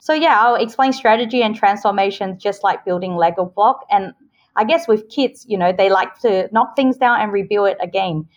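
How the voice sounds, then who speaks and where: A woman's voice: medium pitch, moderate pace, muffled recording. A woman in her forties who grew up in Australia after a Hong Kong childhood, talking earnestly and cheerfully as a podcast guest. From Hong Kong, raised in Australia. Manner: earnest, upbeat, explains with homely examples. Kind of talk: casual interview answers.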